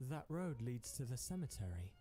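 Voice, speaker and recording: An actor playing a quiet, brooding young man in a visual novel game, terse and reluctant. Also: medium pitch, sing-song, moderate pace, lightly acted. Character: quiet, brooding, reticent young male artist. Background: game music.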